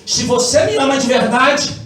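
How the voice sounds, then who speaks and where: Mineiro Brazilian pastor, very high male voice, fervent call-and-response preaching, distant and echoey church hall with music. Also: level tone, normal speed. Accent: Mineiro Brazilian Portuguese. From Brazil, Minas Gerais. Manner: impassioned, swinging from hushed asides to shouted climaxes. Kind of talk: Pentecostal sermon with call-and-response. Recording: very echoey church, far from mic, music behind.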